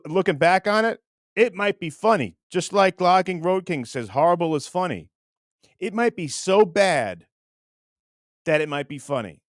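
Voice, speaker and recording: A man's voice: high-pitched, no echo, clean studio sound. A man hosting a livestream in casual chat, reading out comments and rambling in a self-deprecating way. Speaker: livestream host.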